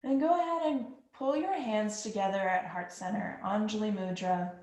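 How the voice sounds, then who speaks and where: A woman teaching a yoga class, guiding breathing in a slow, calm and soothing manner. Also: medium pitch. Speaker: yoga instructor. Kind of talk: guided yoga breathing instruction. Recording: echoey room.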